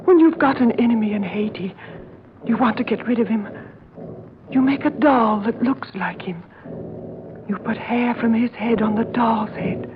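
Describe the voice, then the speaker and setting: An actress playing a fearful, superstitious female servant in an old radio horror drama, breathy, halting and deferential. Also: a little high, a crowd in the background, lightly acted. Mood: grave, fearful. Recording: muffled, noisy vintage radio recording.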